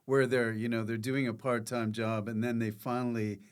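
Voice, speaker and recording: A man's voice: mid-range voice, little pitch movement, moderate pace, clean tone. A man hosting a casual interview, asking questions and tossing in jokey, brief interjections. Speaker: podcast host.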